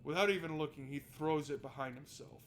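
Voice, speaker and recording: A man's voice: fairly high pitch, fairly quick, slight background noise. A man hosting a visual novel playthrough, reading narration aloud and adding casual, wry asides. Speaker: gaming video host.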